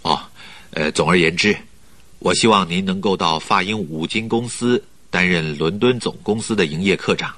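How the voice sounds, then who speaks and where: Deep-voiced, smooth-talking recruiter. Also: male voice, a bit bright. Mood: coaxing. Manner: polite, measured, persuasive. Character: smooth-talking male company recruiter, flattering, persuasive schemer. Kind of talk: Mandarin radio drama acting.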